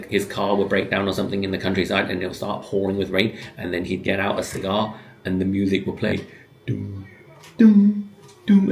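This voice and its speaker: Very deep-voiced, gravelly man musing aloud. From born in Hong Kong, grew up in the West, living in the UK. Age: 50s. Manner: halting, musing, with pauses mid-sentence. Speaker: video host giving commentary. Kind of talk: reflective, unscripted personal commentary.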